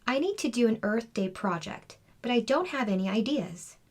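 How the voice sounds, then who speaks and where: A woman's voice: a little high, lively intonation, normal speed. A woman reading a storybook, voicing a fretful little schoolboy who is stuck for ideas, in a plaintive manner. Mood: glum.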